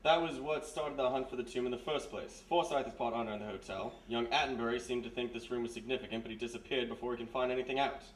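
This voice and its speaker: Man playing a quick, dry British gentleman. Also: medium pitch, natural voice. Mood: dry, informative. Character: dry, well-bred British male gentleman companion. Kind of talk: live 1940s-style radio mystery acting. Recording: noisy echoey hall, distant stage mic.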